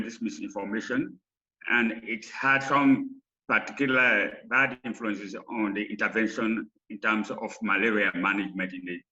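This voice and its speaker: A man presenting research in a lecture, with halting, emphatic phrasing. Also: medium pitch, sharp tone. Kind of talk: academic lecture. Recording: slightly dull online call audio.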